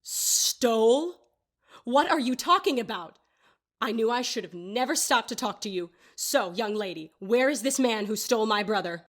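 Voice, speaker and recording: Actress as a haughty, prim older lady, rather high-voiced. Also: expressive, normal speed. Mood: indignant. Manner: indignant, formal, scolding. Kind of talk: audio drama acting.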